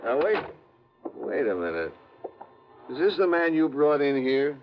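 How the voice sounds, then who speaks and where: An actor playing an insistent, easily flustered police sergeant in a 1950s radio crime drama. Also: male voice, mid-range voice, sing-song, unhurried. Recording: dull old radio recording, faint noise.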